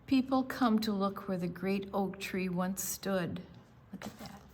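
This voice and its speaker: A woman reading a picture book aloud to young children as a storytime reader, with deliberate, expressive delivery and casual asides about the pictures. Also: medium pitch, a little hiss or hum.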